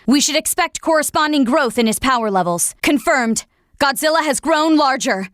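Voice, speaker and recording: A woman's voice: sits high, animated, normal speed, fairly bright tone, no echo, clean studio sound. A woman playing a composed military operations officer in a video game, giving formal, clipped mission reports on a monster attack. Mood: formal, grave.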